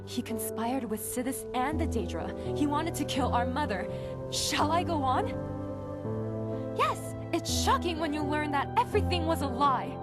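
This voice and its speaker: A woman plays a determined young princess who travels as the player's companion in a game. Her voice sits a little high and stays earnest. A wash of loud, airy music runs underneath. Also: animated, normal speed. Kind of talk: fan voice acting for a game mod.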